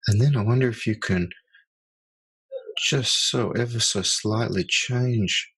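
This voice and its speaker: A man guides listeners through a mindfulness meditation. His voice sits fairly low with a breathy, husky grain, and he speaks slowly and softly, leaving long pauses between phrases. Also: low-quality recording. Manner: slow, soft-spoken, with long pauses.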